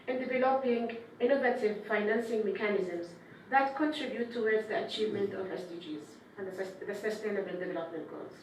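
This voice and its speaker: A woman's voice: fairly high pitch, a little hiss or hum. Woman speaking in an echoey hall. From Palestinian, raised in Syria and Jordan. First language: Arabic. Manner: earnest, formal address with frequent restarts. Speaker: development official giving remarks. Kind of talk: formal speech at a press event. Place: indoor press conference room. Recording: echoey room, mic at a distance.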